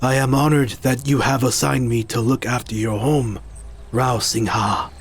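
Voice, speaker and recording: A man's voice: mid-range voice, fairly even intonation, normal speed, a little hiss or hum, close to an everyday voice. A man playing a loyal, solemn village musician who speaks formally and earnestly in an audio drama.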